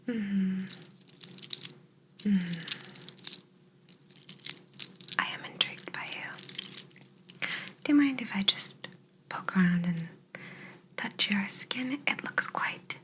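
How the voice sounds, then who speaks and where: A woman whispering softly and breathily in an ASMR roleplay as a polite, curious, clinical alien examining a human. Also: medium pitch. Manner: slow, polite, clinical, murmuring short reassurances.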